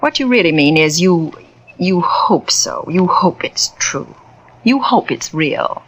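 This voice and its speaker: An actress playing a persuasive spiritualist medium who narrates, intimate and theatrical, sometimes dropping to a whisper, in 1940s radio acting. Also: female voice, on the low side, animated, takes it slowly, a bit bright, breathy edge, exaggerated voice, poor audio. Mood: coaxing.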